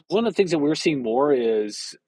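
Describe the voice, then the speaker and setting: A man's voice: fairly high pitch, big pitch swings, normal speed, a little mellow. A man hosting an interview podcast, asking curious questions and backing his guest with frequent "mm-hmm.". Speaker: podcast host. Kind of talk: casual interview.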